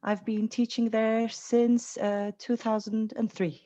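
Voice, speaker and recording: A woman's voice: on the high side, little pitch movement, slow, mellow, dull audio. A woman from Turkey, a design lecturer, introducing herself briefly and a little hesitantly on a video call.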